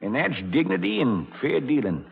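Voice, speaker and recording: An actor playing an older, blustering, folksy frontier saloon judge in an old radio drama, with an American Western drawl and a gravelly voice. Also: male voice, fairly high pitch, sing-song, moderate pace, slight background noise, full character voice. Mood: proud, proclaiming. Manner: folksy, bombastic, self-important. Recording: muffled vintage radio recording.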